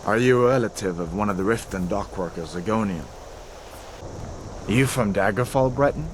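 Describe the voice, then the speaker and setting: An actor playing a dutiful, sympathetic Imperial soldier in a fantasy video game, measured and quietly authoritative. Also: male voice, lowish voice, lightly acted. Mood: questioning, wary. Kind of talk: video game character acting. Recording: noisy outdoor game scene ambience.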